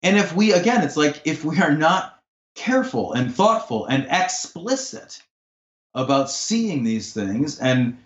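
A man's voice: a little high, sing-song, unhurried. A man in a podcast conversation, talking in an assertive, impassioned way that turns to swearing when he gets heated. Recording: roomy, dull lo-fi sound.

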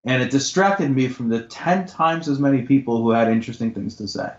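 An assertive man talks with passion in a podcast conversation and swears as he heats up. His voice sits at a middle pitch. The room echoes around him, and the sound is dull and lo-fi. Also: lively intonation, a little fast. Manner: assertive, impassioned, swears when heated.

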